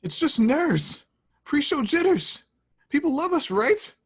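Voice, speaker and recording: A man reading the part of an awkward, eager, insecure young man in love, halting and sheepish, in a screenplay table read. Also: extremely high pitch, big pitch swings, heavily acted. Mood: anxious reassurance.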